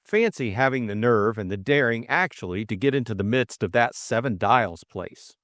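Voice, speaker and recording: A man's voice: mid-range voice, expressive, moderate pace, fairly bright tone, dry recording, clean studio sound. A man narrating a novel as a scripted audiobook, reading expressively.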